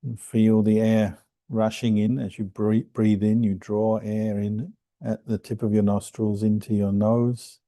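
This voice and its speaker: A man guiding a meditation as a monk and teacher, speaking calmly and gently with unhurried pauses between phrases. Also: lowish voice, dry recording. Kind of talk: guided meditation instruction.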